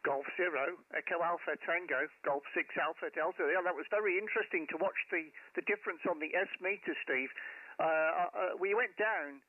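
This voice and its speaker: A man's voice: fairly high pitch, a little fast, phone-quality sound, slight background noise. A man making casual amateur radio contacts on a headset mic, speaking enthusiastically with hesitant phrasing. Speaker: amateur radio operator, live streamer. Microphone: headset microphone.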